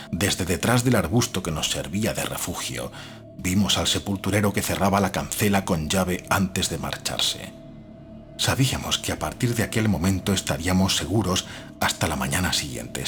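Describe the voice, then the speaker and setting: A man's voice: deep voice, fairly bright tone. A man reading gothic fiction as an audiobook narrator, in a scripted, dramatic style. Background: faint music.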